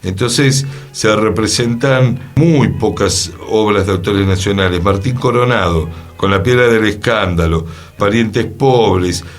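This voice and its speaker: A man's voice: fairly low pitch, measured pace, hardly any pauses. A man with an Argentine Buenos Aires accent presenting history conversationally on a radio talk show. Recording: music playing behind the voice.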